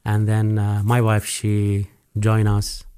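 A man's voice: lowish voice, completely flat delivery, slow pace, mellow, clean tone. A man from Iraq, a restaurant co-owner, answering interview questions hesitantly with many fillers.

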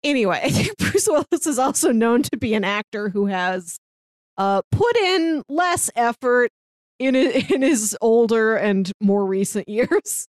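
A woman's voice: on the high side, animated, measured pace, no echo, clean studio sound. A woman co-hosting a casual film discussion, explaining plot points in an earnest, conversational way. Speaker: podcast co-host.

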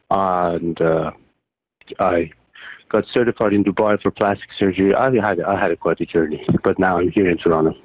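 A man who grew up in Iran, a surgeon and poet, answering interview questions haltingly with many fillers and restarts. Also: low voice, no room sound. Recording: tinny phone line.

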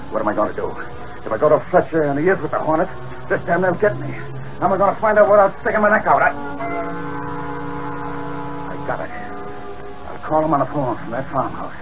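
A man's voice: high-pitched, low-quality recording. A slangy tough-guy gangster. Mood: scheming. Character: tough streetwise male gangster henchman, boastful. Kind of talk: 1940s radio acting. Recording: hissy old radio broadcast.

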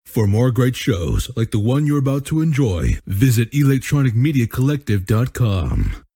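Low husky guy doing a network announcer bit, dark mellow voice, dry sound. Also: very animated, normal speed, no room sound. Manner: slick announcer delivery with a wry aside. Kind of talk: announcer bumper read.

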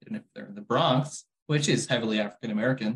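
A man's voice: on the low side, fast. A young adult man co-hosting a casual podcast, opinionated and wry, often breaking into laughter. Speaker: podcast co-host.

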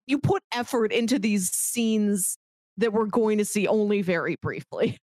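A woman co-hosting a podcast in a casual film discussion, chatty and opinionated in an animated way. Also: mid-range voice, measured pace, no room sound. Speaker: podcast co-host.

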